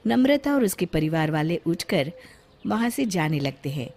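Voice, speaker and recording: A woman's voice: on the low side, very animated, normal speed, a little mellow. Woman narrating a scripted cartoon story.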